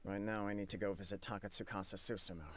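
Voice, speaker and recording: A man voicing an earnest, self-blaming young clan leader in a video game, formal, grave and measured. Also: fairly low pitch, level tone, natural voice. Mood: resolute. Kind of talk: dramatic game dialogue. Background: soft game music.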